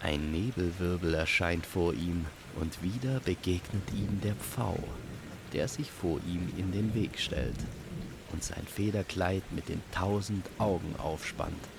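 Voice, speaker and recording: A man narrating a scripted fantasy story in a measured, descriptive storytelling manner. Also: low-pitched, fairly even intonation, gravelly, noisy background.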